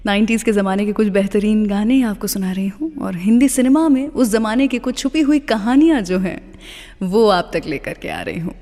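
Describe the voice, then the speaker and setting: A woman's voice: mid-range voice, expressive, normal speed, warm tone, in a large hall. An Indian woman hosting a radio show in a conversational storytelling style, slipping English phrases into her talk. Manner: mixes English phrases into speech. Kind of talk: conversational radio storytelling about film music.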